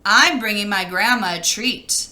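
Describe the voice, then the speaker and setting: A woman's voice: mid-range voice, unhurried, pushed and bright. A woman voicing a plucky young girl ninja from a storybook, speaking in a forthright, challenging way. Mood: cheerful.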